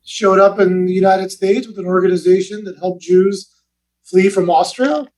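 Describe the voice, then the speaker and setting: Forties man, high voice, easygoing podcast guest, slightly off-mic. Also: normal speed, darkish tone, distant mic, low-quality recording. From West Los Angeles. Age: 40s. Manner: easygoing, chatty storyteller. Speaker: guest, real estate investment advisor. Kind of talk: casual podcast interview.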